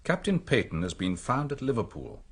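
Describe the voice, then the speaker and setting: An actor playing a curious, mildly skeptical English village doctor in a radio drama, with a British accent and a dry, proper manner. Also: male voice, medium pitch, sing-song, normal speed, slightly rough. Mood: dry, narrating. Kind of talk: radio drama acting and first-person narration.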